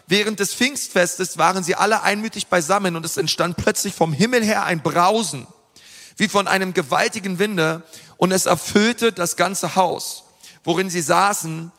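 A man's voice: sits high, a bit bright. A man preaching a sermon in an earnest, emphatic way, with frequent fillers and restarts. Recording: long reverb of a large hall.

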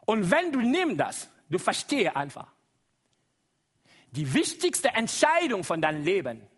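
Brief: Congolese-accented male preacher, middle-aged, high voice, very animated sermon delivery. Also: unhurried, breathy edge. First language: Lingala. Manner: lively, exclaims and laughs often, asks the congregation to repeat. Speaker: guest preacher.

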